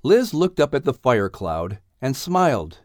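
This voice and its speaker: Male narrator for children's story. Animated melody, medium pitch, close studio-dry sound. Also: measured pace, no echo. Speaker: audiobook narrator.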